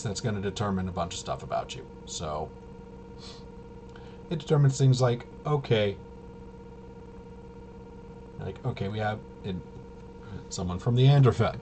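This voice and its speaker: A man giving a casual, unscripted walkthrough as a host, rambling and halting, with muttered asides to himself. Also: lowish voice, noisy background. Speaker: host of a tabletop RPG review video.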